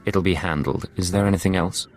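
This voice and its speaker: An actor playing a cool undercover agent in a video game, curt and composed. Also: male voice, low voice, a little fast, a little hiss or hum, lightly acted. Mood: composed, businesslike. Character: cool male undercover agent posing as pirate.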